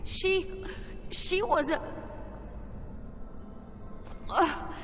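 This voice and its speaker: A woman's voice: extremely high pitch, slow, fairly bright tone, exaggerated voice. A woman playing an earnest, devout young adventurer in a fantasy video game, sincere and resolute. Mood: shaken, confused. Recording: long reverb with music behind. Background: music.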